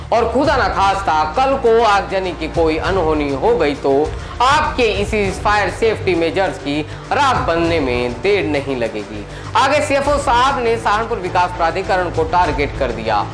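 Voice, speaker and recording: A man presenting a scripted news report in a dramatic, emphatic, rhetorical style. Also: high-pitched. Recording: echoey voice over loud background music.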